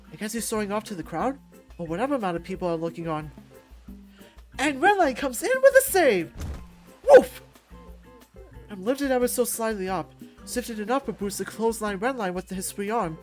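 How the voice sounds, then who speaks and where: A woman reading a visual novel aloud as expressive first-person narration. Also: mid-range voice. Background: music.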